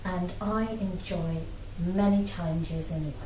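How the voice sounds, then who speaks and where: A woman telling a personal story to camera in an earnest, expressive way, as a theatre-goer. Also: lowish voice, takes it slowly, noisy background. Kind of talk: personal account told to camera. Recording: echoey room, mic at a distance.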